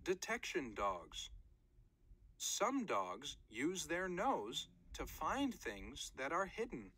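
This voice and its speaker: A woman's voice: low-pitched, huge pitch swings, slow, fairly bright tone, husky. A woman reading a children's nonfiction book aloud in short, careful phrases, like a read-aloud narrator.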